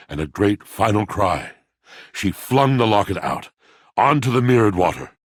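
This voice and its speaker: Game role: brooding melodramatic male poet, rumbling bass, grandiose and seductive, recorded dry and close. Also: breathy edge, no room sound, heavily acted. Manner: grandiose, formal, deliberate dramatic delivery. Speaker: video game voice actor. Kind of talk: theatrical, poetic character dialogue.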